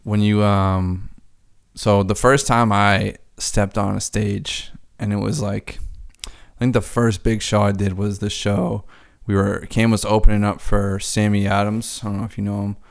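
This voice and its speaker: A man's voice: fairly low pitch, level tone, measured pace, creaky. A man who works as a DJ, chatting casually on a podcast, rambling with lots of filler words and swearing freely.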